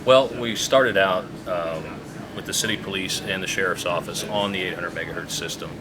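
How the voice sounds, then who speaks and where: A man giving unscripted interview answers as an official, explaining things in a halting, measured way. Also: low voice, heavy background noise. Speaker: public safety radio official being interviewed.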